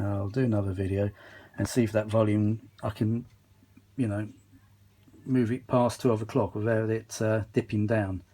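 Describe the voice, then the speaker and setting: A man's voice: on the low side, a little mellow. A man giving a casual, unscripted workbench update to camera, rambling and thinking aloud with some mild swearing. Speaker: vintage audio hobbyist filming a repair update. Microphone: phone camera held while filming.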